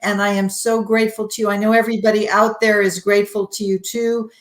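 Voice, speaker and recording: A woman's voice: medium pitch, flat delivery, fast. Appreciative woman host in an echoey room. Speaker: interview host. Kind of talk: conversational interview.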